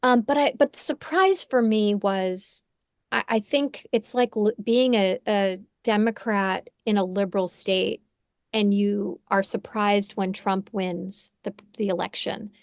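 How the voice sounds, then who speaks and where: A woman's voice: medium pitch, steady tone, a little slow, dry recording, clean studio sound. A woman in her forties, a podcast host and psychotherapist, speaking thoughtfully in conversation, often restarting and checking in with "right?". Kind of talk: reflective conversational interview. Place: home room. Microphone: headphones on a video call.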